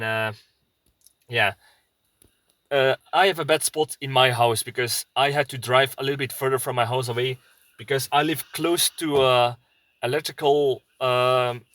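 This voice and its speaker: Male vlogger, Belgian accent, mid-pitched sharp bright voice, casual and hesitant delivery, often searching for words. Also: no echo. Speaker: prepper video blogger. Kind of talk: casual rambling vlog talk. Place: inside a parked car.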